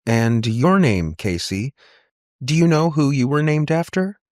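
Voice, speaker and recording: A man's voice: medium pitch, animated, unhurried, a little mellow, dry recording, studio quality, pitched above the performer's own voice, natural voice. A man voicing a conciliatory aunt in an audiobook, tentative and anxious to connect. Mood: coaxing. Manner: tentative, placating. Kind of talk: audiobook character dialogue.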